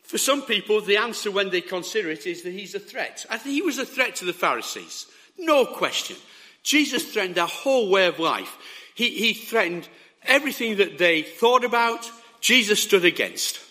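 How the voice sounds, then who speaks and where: A hoarse man delivers an emphatic sermon, his voice sitting high and leaping around with lively intonation. The tone is bright and pointed, and he keeps checking in with the people listening. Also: normal speed. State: hoarse, sore throat. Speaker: preacher.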